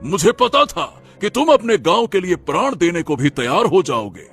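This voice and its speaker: A man playing an excitable, greedy, scheming king in a Hindi cartoon folk tale, theatrical and repeating his phrases. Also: high-pitched, moderate pace, husky, faint music behind, heavily acted. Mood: gloating. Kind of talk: dramatic Hindi cartoon story acting.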